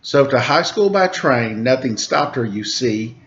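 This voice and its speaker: Male storyteller reading a rhyming kids' book, sing-song and animated on quotes; mid-pitched voice, slightly muffled recording. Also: a little slow, a little hiss or hum. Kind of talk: rhyming picture-book read-aloud.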